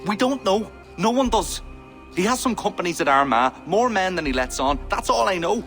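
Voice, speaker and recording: Worried captive king, animated, slightly high. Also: normal speed, soft background music, full character voice. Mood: anxious. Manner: anxious and pleading. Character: captive male Irish king, worried and defensive.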